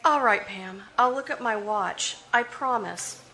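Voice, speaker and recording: A woman's voice: medium pitch, unhurried, sharp tone, slight background noise, low-quality recording. A woman playing a timid, frightened young woman pulled into a crime, in 1940s radio acting style, nervous and pleading.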